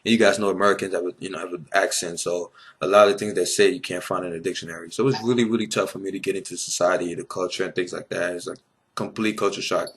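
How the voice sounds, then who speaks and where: A man's voice: deep voice, steady tone, quick pace, fairly bright tone, slightly rough. A tired young man from Ivory Coast, a native French speaker, telling a casual story to his viewers with blunt swearing and rambling asides. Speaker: vlogger.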